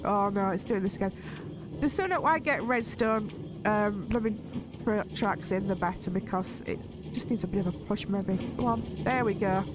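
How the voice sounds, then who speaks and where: A woman with a slightly hoarse voice, talking through a game in a relaxed, chatty way and thinking aloud. Her voice sits at a middle pitch, and the recording is dry and close, with no room sound behind it. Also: dry recording. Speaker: gaming video host. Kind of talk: casual let's-play commentary.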